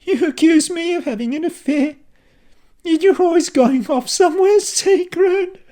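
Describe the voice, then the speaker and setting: A man voicing a young wife who angrily accuses her husband in a raised, falsetto-like voice. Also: slow, darkish tone. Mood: accusing. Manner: high-pitched, accusing, sarcastic.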